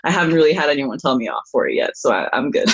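A woman guest in a casual chat, telling stories lively, tripping over restarts and laughing along. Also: deep voice, fast talker, gravelly, husky, highs cut off.

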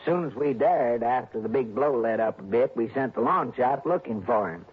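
A man's voice: medium pitch, expressive, moderate pace, husky. A man playing a friendly, folksy fishing resort manager with an American accent in a 1960s radio drama, chatty and deferential. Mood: earnest, confiding. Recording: dull, noisy vintage radio recording.

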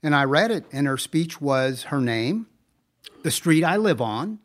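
A man co-hosting a podcast in casual conversation, telling stories in an animated, emphatic way. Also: a little high, unhurried. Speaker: podcast co-host.

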